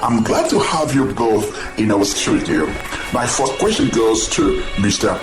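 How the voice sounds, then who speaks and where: A man's voice: mid-range voice, very animated, measured pace. Figure: flustered, apologetic radio host voiced by a man. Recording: echoey, with music. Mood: polite. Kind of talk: amateur radio drama acting. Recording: echoey room with background music.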